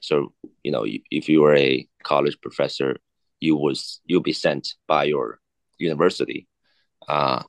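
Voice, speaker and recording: A man in his thirties from China, a native Cantonese speaker, talking haltingly as an interview guest with many fillers and restarts. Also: very deep voice, vocal fry, dry recording. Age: 30s. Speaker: guest, watch collector. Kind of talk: casual interview chat.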